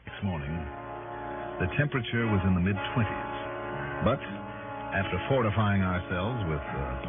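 An actor playing an easygoing, confident investigator with an American accent in a 1960s radio drama. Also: male voice, deep voice. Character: easygoing, confident male investigator narrating his case. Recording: tinny old radio recording.

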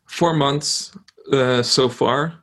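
A young man from Poland chats casually as a guest, often stopping and restarting his sentences. His voice has a gravelly, creaky edge. He sounds relaxed and thoughtful. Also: medium pitch, drawn-out words. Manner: hesitant, frequent restarts. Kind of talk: casual conversation.

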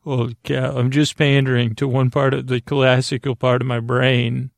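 Male sleep podcast host: deeply creaky, gravelly voice, halting and whimsically rambling, recorded dry. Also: little pitch movement, no echo. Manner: meandering, halting, full of self-interruptions and silly asides. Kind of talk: rambling, whimsical bedtime monologue.

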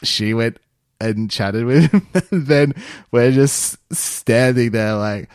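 A young man hosting a podcast, telling a story in a halting, casual way and laughing between phrases. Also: medium pitch, darkish tone, clean tone, dry recording, clean studio sound. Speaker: podcast host.

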